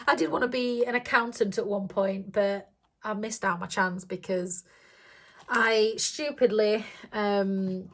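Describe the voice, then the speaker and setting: A woman talking casually to her viewers in a slightly croaky voice. She rambles along with plenty of ums and short giggles, in a pitch that sits in the middle for a woman. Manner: rambling and chatty, with many ums and frequent giggles. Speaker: budgeting vlogger. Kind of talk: casual chat to camera.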